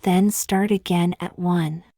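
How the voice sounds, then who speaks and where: A woman with a slightly low voice guides a beginner meditation. She speaks softly and breathily, at times close to a whisper, in a gentle, soothing manner with long pauses. The sound is dry, with no room echo. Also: fairly even intonation. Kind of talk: scripted guided meditation narration.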